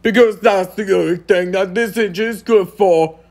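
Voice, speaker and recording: Man voicing a raspy, pompous cartoon steam engine. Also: sits high, normal speed, a little mellow. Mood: triumphant, scornful. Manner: pompous, theatrical, sneering. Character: boastful, scornful silver steam engine, male, pompous rival. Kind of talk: amateur cartoon voice audition.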